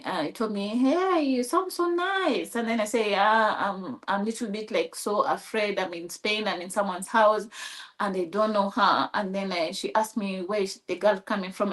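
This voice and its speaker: A woman from Kenya with a Kenyan accent and Swahili as her first language, telling her story as an interview guest, halting with many restarts and acting out quoted dialogue. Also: mid-range voice. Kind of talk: personal storytelling in conversation.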